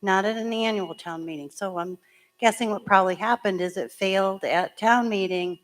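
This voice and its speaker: Hoarse-voiced woman town clerk. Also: medium pitch, normal speed. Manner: plainspoken, chatty, explains step by step. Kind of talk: explaining procedure at a public town meeting. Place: school meeting room.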